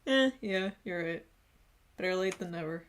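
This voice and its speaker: A woman's voice: fairly low pitch, expressive, moderate pace. A woman chatting casually as she streams a game, easygoing and giggly.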